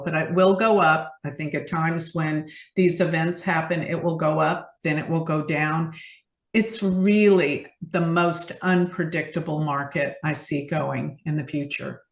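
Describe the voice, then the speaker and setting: A woman speaking as a guest expert, giving conversational forecasting commentary over a video call. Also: deep voice. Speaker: guest astrologer and market forecaster. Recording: roomy, echoing room sound.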